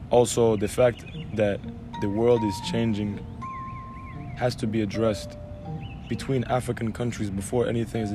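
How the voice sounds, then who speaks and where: A man's voice: mid-range voice, warm tone. A man from Algeria, a guest, speaking in an assertive, emphatic, speech-like way. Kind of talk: conversational interview.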